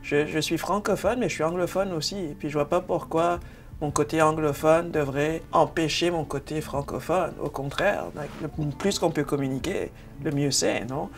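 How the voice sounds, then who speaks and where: A man's voice: fairly high pitch, normal speed, faint music behind. A man speaking thoughtfully in a documentary interview and slipping in English words.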